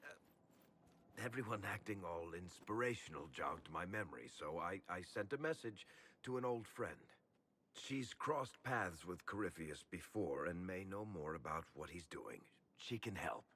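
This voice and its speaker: An actor playing a casual, roguish, evasive ally in a video game, speaking informally and with some hesitation. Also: male voice, low-pitched, expressive, a little slow, a bit bright, breathy edge, heavily acted. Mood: sheepish, evasive.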